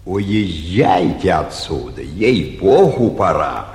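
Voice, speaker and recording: Grumbly wary servant, slow talker with lots of swing in pitch, echoey old radio play. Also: male voice, big pitch swings, darkish tone, heavily acted. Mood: wary, urging. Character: blunt, wary, unhurried male manservant. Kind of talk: theatrical 1940s stage comedy acting. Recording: echoey 1949 theatre radio production.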